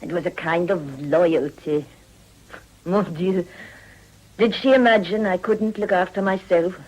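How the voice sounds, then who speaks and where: Sophisticated mistress, unhurried, cool, discreet, pragmatic drama delivery. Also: female voice, lowish voice, a little mellow, low-quality recording. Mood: cool pragmatism. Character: worldly, pragmatic French-speaking mistress, coolly discreet woman.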